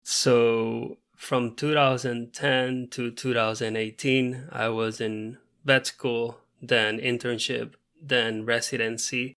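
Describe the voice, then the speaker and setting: A man's voice: mid-range voice, flat delivery, fairly bright tone, smooth. A man in his thirties from Puerto Rico with a Puerto Rican Spanish accent, a native Spanish speaker, talking earnestly as a veterinarian guest in a podcast interview. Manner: earnest, measured, frequent fillers.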